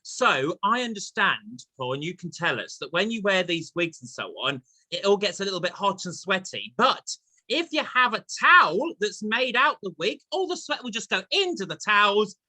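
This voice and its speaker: A man's voice: sits high, sing-song, normal speed, sharp tone, no room sound, rough recording. A man hosting an online chat show in a chatty, playful, enthusiastic and jokey way.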